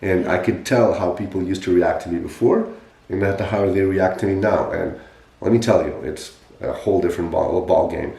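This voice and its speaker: A lower-pitched man of about forty talks with a mellow, dark tone, and his voice gets emphatic as he tells his story. His many restarts are clear in an echoey room. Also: normal speed. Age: 40s. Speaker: podcast host sharing his fitness story. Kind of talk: casual podcast chat.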